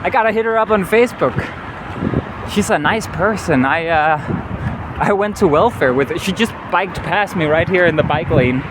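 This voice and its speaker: A man's voice: high voice, animated, normal speed. A man with a Danish accent, a native Danish speaker, talking earnestly and rambling in a casual travel vlog, often adding "you know". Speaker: travel vlogger. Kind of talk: casual walking vlog monologue. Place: outdoors on a city street. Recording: clear street traffic noise. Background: city traffic and vehicles.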